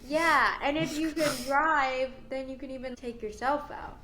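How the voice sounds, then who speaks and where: A woman co-hosting a podcast in casual chat, bubbly and quick to react. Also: sits high, steady tone, a crowd in the background. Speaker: podcast co-host.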